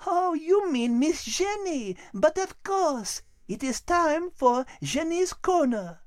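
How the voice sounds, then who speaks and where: High-pitched French kitty. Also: female voice, sing-song, takes it slowly, no echo, clean studio sound, exaggerated voice. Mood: curious. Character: curious, prim little female French cat.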